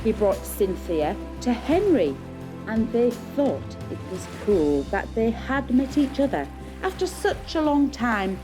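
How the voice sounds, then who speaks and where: A woman's voice: on the high side, big pitch swings, slow, music in the background. A woman narrating a puppet show as a storyteller in scripted rhyming verse.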